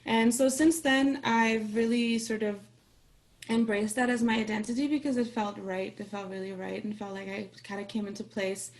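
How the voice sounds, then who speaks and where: A woman from Austria whose first language is Farsi, introducing herself in a relaxed, friendly way on a video call. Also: a little high, normal speed. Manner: friendly, relaxed, laughs now and then. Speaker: workshop participant. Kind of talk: casual self-introduction.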